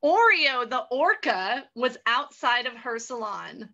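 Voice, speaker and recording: A woman's voice: a little high, big pitch swings, takes it slowly, sharp tone, lo-fi sound. A woman hosting and narrating improvised children's stories in a theatrical, exclamatory way. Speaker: host and story narrator.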